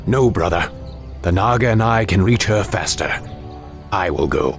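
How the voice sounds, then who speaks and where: Banished elven demon hunter, video game: low, grave, proud, earnest, dramatic, music underneath. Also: male voice, animated, normal speed. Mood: resolute. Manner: solemn, dramatic, formal. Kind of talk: video game cutscene acting.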